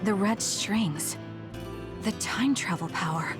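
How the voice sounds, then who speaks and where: Casting: actress for decisive young game heroine, medium-pitched, composed and direct, music clearly underneath. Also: female voice, slow, lightly acted. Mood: realizing. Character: composed, decisive young female fighter, coolly loyal. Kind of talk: English video game dub acting.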